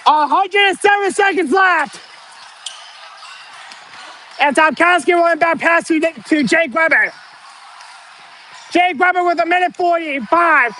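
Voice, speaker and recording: High-pitched male announcer, hoarse and straining, unhurried excited basketball calls, noisy gym. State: strained, losing his voice. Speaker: amateur play-by-play announcer. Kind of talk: live basketball play-by-play commentary. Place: indoor school gym. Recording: noisy gym with ball bounces.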